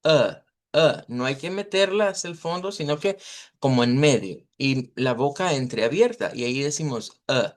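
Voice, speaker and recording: Latin american guy teaching pronunciation, mid pitch, informal but careful, explains step by step. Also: expressive. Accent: Latin American Spanish. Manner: didactic, deliberate, checks understanding with tag questions. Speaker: language teacher. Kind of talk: informal online language lesson, explaining pronunciation.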